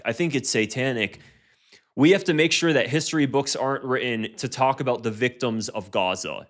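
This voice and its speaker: A man's voice: medium pitch, fairly bright tone. Heated American male commentator. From United States. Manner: heated, repetitive restarts. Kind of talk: reaction commentary.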